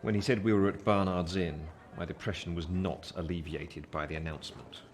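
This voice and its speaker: A man playing a wry, gloomy gentleman, narrating a Victorian tale in the first person in measured phrases. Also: low-pitched, noisy background, close to an everyday voice.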